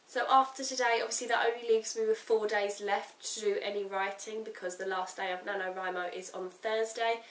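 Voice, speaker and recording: Woman, croaky with a cold, casual rambling vlogger; roomy, off-mic sound. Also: medium pitch, steady tone, a little fast, few pauses, distant mic. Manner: rambling, talks straight to the camera. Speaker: writing vlogger. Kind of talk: casual chatty vlog update. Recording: roomy echo, off-mic, faint hiss.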